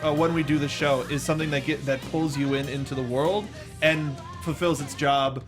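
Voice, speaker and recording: Male review-show host, American, slightly high-pitched, unscripted and conversational, halting with repeats. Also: steady tone, music in the background. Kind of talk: unscripted film review chat.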